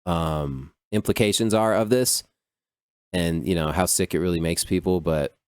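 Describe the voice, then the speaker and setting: A man's voice: low voice, moderate pace, smooth, no room sound, clean studio sound. A man hosting a podcast by himself, riffing on news stories in a loose, profane, rambling way, full of "dude" and "like". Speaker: podcast host. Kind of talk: casual solo commentary on news.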